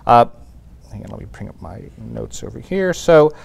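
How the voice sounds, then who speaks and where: A man's voice: mid-range voice, animated, moderate pace, creaky, a little mellow, breathy edge. A man speaking as a guest at a tech meetup, loose and chatty with lots of fillers, on a clip-on mic in a room with an audience. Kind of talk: casual presentation. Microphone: clip-on lapel mic.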